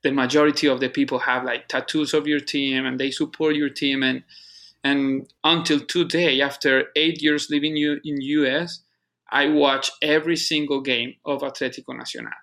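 Man, Colombian Spanish accent, native Spanish speaker, halting and earnest, repeats words, level monotone delivery. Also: mid-range voice. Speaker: guest, soccer club operations manager. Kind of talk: casual interview conversation.

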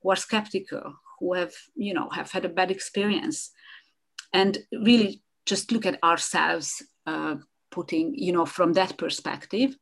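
A middle-aged woman speaks in a low, gravelly voice. She answers interview questions in an animated way, often restarting her sentences and repeating words. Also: measured pace. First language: Hungarian. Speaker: guest, marketing consultant. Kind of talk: conversational podcast interview.

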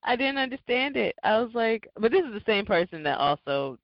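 Animated woman chatting on a podcast. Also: a little high, a little fast, no room sound, muffled recording. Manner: animated storytelling. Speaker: podcast co-host. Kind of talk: casual chat.

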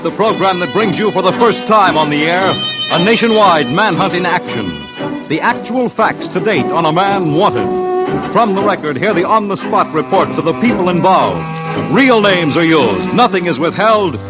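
Vintage male announcer, punchy and clipped. Raised pitch, very animated, heavy music bed. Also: rough recording. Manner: punchy, dramatic, clipped phrases. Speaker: announcer and narrator. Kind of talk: 1950s radio announcing, scripted narration. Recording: old radio broadcast under loud music. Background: loud music.

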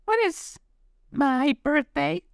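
Storybook little monster boy: sweet, excitable, high-pitched, animated, dry close sound. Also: slow, vocal fry, dry recording, heavily acted. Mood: curious, wanting. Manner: excited, childlike exclaiming.

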